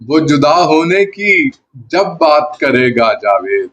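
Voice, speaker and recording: A man, a poet, reciting ghazal verse in a deliberate manner, repeating lines for emphasis. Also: a little high, sing-song, a bit bright, dull audio. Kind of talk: Urdu ghazal poetry recitation. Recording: clear background noise.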